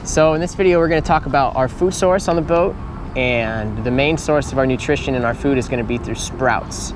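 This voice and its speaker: A man's voice: medium pitch, fairly quick, background noise. A young adult man giving a casual explanation to camera as a vlog host, recorded outdoors. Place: outdoors in a park. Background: music.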